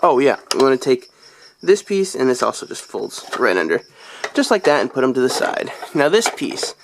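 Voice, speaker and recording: A young man who has been sick, his voice deeper than usual, rambling through a casual toy review with lots of "uh"s. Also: big pitch swings, moderate pace, vocal fry, background noise. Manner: rambling, chatty, lots of fillers.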